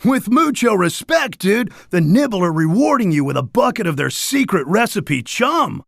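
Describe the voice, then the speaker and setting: Excitable high-pitched surfer dude cartoon critter. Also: male voice, normal speed, vocal fry, dry recording, clean studio sound, exaggerated voice. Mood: cool, playful. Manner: surfer slang, exaggerated laid-back enthusiasm. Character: laid-back upbeat surfer dude cartoon creature, boastful beach guide. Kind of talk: animated game character dialogue.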